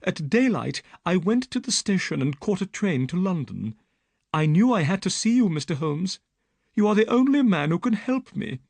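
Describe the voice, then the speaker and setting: A man's voice: sits high, very animated, normal speed, gravelly, darkish tone, dry recording, pitched above the performer's own voice, heavily acted. A man voicing a frightened young woman who pleads for help. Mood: fearful. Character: frightened young woman telling her story.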